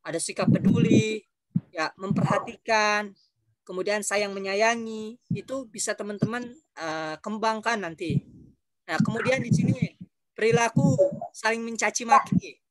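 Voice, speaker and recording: A man's voice: sits high, sing-song, normal speed, no echo, rough recording. A male teacher explaining a lesson to his class on a video call, patiently repeating instructions.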